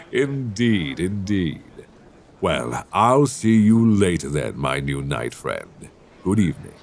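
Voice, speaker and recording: Genial male ship's captain, acted by a man, fantasy audio drama, theatrical, very animated intonation, unhurried pace. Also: sits low, gravelly, full character voice. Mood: amused, friendly.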